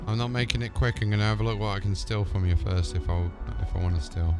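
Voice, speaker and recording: A man's voice: fairly low pitch, quick pace, over music. A man giving casual, matey, off-the-cuff commentary as he livestreams a video game.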